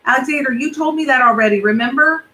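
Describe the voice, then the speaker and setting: A woman voicing an excitable young boy who is urgent and insistent in a storybook reading. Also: sits high, quick pace, a little hiss or hum, pitched above the performer's own voice. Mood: impatient.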